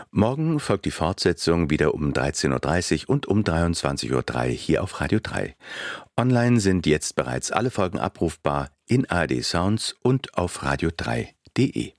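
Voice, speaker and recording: A man's voice: very deep voice, no room sound, studio quality. A man reading a scripted programme announcement on the radio.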